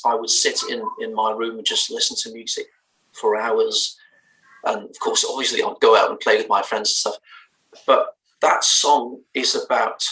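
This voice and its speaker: Danish-accented man, bright and roomy. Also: mid-range voice, distant mic. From Denmark. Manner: thoughtful, hesitant, many fillers and restarts. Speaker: band's lead singer. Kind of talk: remote interview chat.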